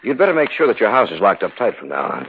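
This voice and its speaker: A man's voice: lowish voice, very animated, fast talker, slight background noise. An actor playing a sober, level-headed man narrating his own eerie tale in a 1950s radio drama. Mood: steady, concerned. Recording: muffled old radio recording.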